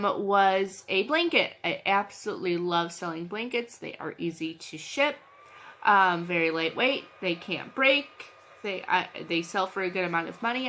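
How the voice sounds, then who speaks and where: A woman's voice: medium pitch, bright, forceful tone. Chatty woman with a cold. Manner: chatty, scattered, lots of ums and restarts. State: has a cold. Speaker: channel host, reseller. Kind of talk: casual vlog chat.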